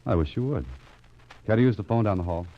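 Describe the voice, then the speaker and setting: An actor playing a wry, tough private investigator in 1940s radio style, with dry, understated delivery. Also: male voice, on the low side, fast talker, close to an everyday voice. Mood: firm, tense. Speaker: actor playing the lead detective. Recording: muffled vintage radio recording with music.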